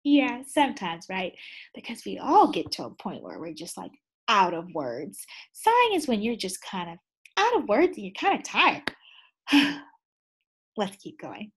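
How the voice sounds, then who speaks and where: A woman teacher reading a picture book aloud to young children, pausing to ask them questions, with gasps and sighs. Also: medium pitch, wildly swooping pitch, slightly rough. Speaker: second grade teacher.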